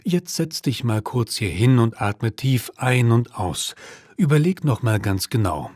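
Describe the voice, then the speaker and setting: A man's voice: mid-range voice, animated, measured pace, a little mellow, no echo, lightly acted. A man acts a patient, methodical husband in a language-learning dialogue. He soothes and instructs with strongly varied melody in a dry recording. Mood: calm, questioning.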